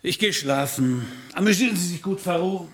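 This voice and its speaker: A man playing a world-weary private detective who narrates his case in a hardboiled radio crime play, dry and laconic, with a husky, gravelly voice. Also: sits high, animated, moderate pace. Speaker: narrator and lead actor.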